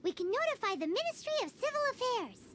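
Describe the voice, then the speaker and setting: A woman voicing a tiny floating fairy companion who is bossy and bratty, with a high squeaky childlike voice and a habit of speaking of herself in the third person. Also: sing-song, quick pace, heavy background noise. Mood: scornful. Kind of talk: video game voice acting. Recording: game audio with loud music behind.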